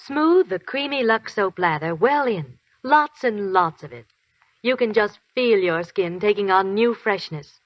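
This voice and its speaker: An actress playing a chirpy housewife in a 1940s radio soap commercial, sweet and chatty. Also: female voice, mid-range voice, animated, unhurried, dry recording, close to an everyday voice. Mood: coaxing. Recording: dry, muffled 1940s radio broadcast.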